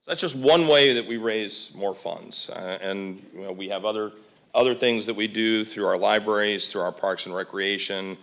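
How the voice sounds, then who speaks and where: A man with a lowish voice gives a budget presentation to county commissioners. He speaks casually, with plenty of "uh"s and restarted phrases, into a podium microphone in a meeting room. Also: moderate pace, vocal fry, a bit bright. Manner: conversational, many fillers and restarts. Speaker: volunteer board member presenting.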